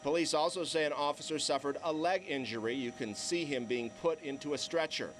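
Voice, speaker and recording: A man reports the news from the field in a slightly high-pitched voice. His delivery is that of scripted television journalism. Faint traffic noise sits behind him on a city street. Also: a little fast, a bit bright.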